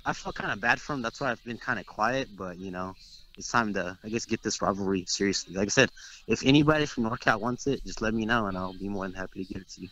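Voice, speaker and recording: A man's voice: on the low side, fast talker, fairly bright tone, dull audio. A young man from Texas talking trash in a casual interview, boastful and rambling with lots of "like"s. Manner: brash, boastful, rambling with many "like"s. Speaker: interviewed competitive fighting game player.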